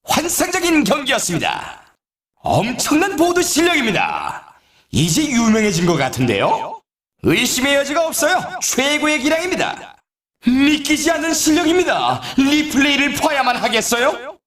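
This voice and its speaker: Hyped game announcer for snowboard races, really high male voice, wildly swoopy, showy but polite. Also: sing-song, normal speed, reverberant, a crowd in the background. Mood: celebratory. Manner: polite formal phrasing, showy exclamatory delivery. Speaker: video game sports announcer.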